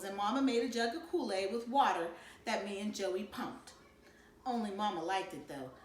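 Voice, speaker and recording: A woman reading a children's novel aloud in an expressive storytelling style. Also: medium pitch, reverberant. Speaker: storybook reader.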